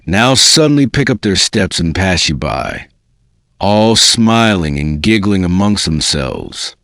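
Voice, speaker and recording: A man reading a book aloud as a dramatic narrator, with a deliberate storytelling delivery. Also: lowish voice, dry recording, studio quality. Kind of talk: scripted dramatic audiobook narration.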